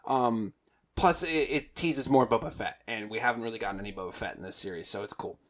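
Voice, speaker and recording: A man's voice: fairly low pitch, quick pace, a bit bright. A man chatting casually as a panelist on a comics review podcast, in a conversational style. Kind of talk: casual chat.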